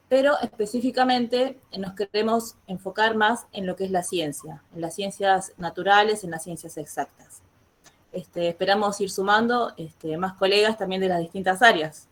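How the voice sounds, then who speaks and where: An Argentine woman hosting an online educational talk, pausing often on filler words as she introduces guests and asks questions. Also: medium pitch. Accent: Argentine Spanish. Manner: hesitant, many filler words. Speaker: host. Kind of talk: casual online interview.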